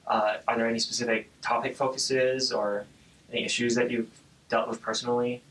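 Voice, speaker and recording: A man hosting an interview, asking questions in a halting way with frequent uhs. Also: mid-range voice, flat delivery, fairly bright tone, a little hiss or hum, rough recording. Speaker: podcast host.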